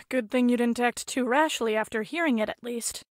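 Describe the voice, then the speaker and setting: Woman acting a breezy, high-voiced young teammate. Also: moderate pace, no room sound, clean studio sound, close to an everyday voice. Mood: relieved, casual. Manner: casual, breezy, teasing. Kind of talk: visual novel character acting.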